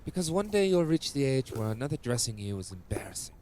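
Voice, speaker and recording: An actor playing a patient, dryly teasing guardian with formal old-world diction in an audio drama. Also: male voice, mid-range voice, very animated, fast talker, darkish tone, a little hiss or hum, lightly acted.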